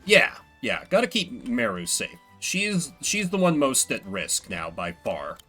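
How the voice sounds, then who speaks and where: A man streams a game with casual, playful commentary. His voice is bright and very animated in pitch, and his delivery is wry, with loose swearing. Faint music plays behind him. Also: measured pace.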